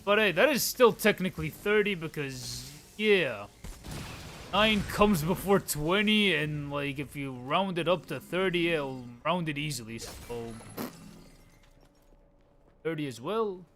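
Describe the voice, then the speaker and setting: A man's voice: fairly high pitch, expressive, unhurried, fairly bright tone, slight background noise. A man in his late twenties hosting a casual game livestream, chatting to viewers in slangy, informal talk. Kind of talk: casual gameplay chat with viewers.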